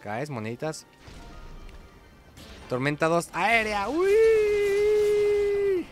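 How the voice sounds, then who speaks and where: A man's voice: very high-pitched, extremely slow, loud music behind the voice. Guy doing casual game commentary. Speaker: gaming video host.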